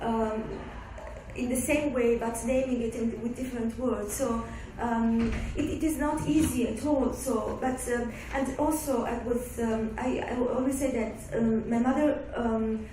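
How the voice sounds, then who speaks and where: A woman from Somalia, a guest author, answering audience questions in a halting way with many restarts and fillers. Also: a little high, fairly even intonation, warm tone. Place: lecture room. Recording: echoey room, far-off mic, faint background noise.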